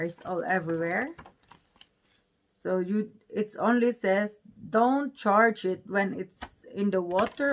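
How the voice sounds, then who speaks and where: A woman's voice: medium pitch, noisy background. A woman with a Filipino accent chatting casually in non-native English as she presents an unboxing vlog. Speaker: vlogger.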